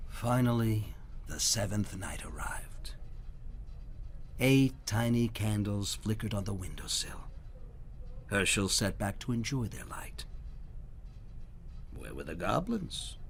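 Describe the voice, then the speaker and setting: A man reading a children's story aloud in a dramatic, sometimes hushed storytelling manner. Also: lowish voice, sing-song, slow pace, pauses often, slight background noise.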